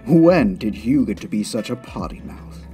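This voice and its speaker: A man's voice: on the low side, animated, normal speed, lightly acted. A man playing a stern, taunting fencing master, curt and condescending, in a comic dub. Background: plucked guitar music.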